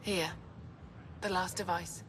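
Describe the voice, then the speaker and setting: A woman's voice: lowish voice, fairly even intonation, slow pace, fairly bright tone, slight background noise. An actress voicing a guilt-ridden woman scientist, tormented and stammering under strain, in a video game. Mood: anguished.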